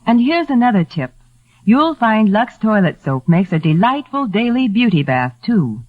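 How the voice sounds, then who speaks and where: A woman's voice: medium pitch, sing-song, moderate pace, no room sound. A woman announcing a 1940s radio commercial in a selling style. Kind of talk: 1940s radio advertising pitch. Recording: dull, dry vintage radio recording.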